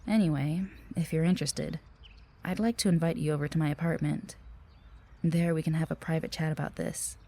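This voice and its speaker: A woman's voice: low voice, steady tone, brisk, dark tone. A woman playing a friendly, earnest and cautious office receptionist in an audio roleplay, speaking politely and conversationally with some hesitation. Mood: hesitant, secretive.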